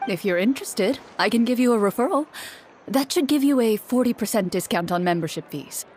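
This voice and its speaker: A woman's voice: on the high side, animated, darkish tone, slight background noise, natural voice. Woman voicing a composed, formal female duelist. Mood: polite. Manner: measured, formal, earnest. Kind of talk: video game voice acting.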